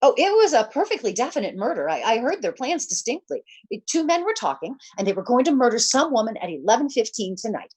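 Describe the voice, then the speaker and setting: An actress playing a nervous, demanding invalid wife in 1940s radio suspense style, with a fretful, insistent, stammering delivery. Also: female voice, fairly high pitch, very animated, fast, few pauses, a little mellow, breathy edge. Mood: agitated, fretful.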